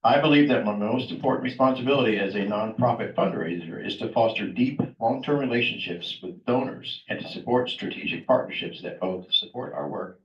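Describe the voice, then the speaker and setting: Older man, plainspoken club introducer, far from the mic, roomy echo. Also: fairly low pitch. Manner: plainspoken, a bit reluctant. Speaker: club member introducing the speaker. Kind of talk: reading an introduction. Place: meeting room. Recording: distant mic, echoey room, dull tone.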